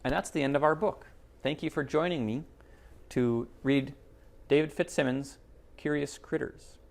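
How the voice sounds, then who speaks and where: A man's voice: fairly high pitch, normal speed, gravelly. A man reading a picture book aloud, casually introducing it to children as he goes.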